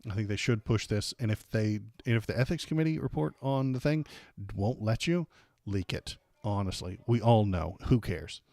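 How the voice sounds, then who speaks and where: An American man hosting a casual political livestream, rambling and halting with repeated words, irreverent and sarcastic as he chats with viewers. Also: fairly low pitch, animated, darkish tone, no room sound. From United States. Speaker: livestream host. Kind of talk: casual political commentary, chatting with live viewers.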